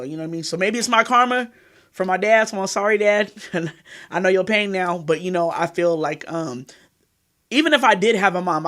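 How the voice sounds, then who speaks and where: A woman in her thirties from California, talking frankly and at length as an interview guest. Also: on the low side. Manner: frank and rambling, leans on "you know what I mean". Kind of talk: candid interview conversation.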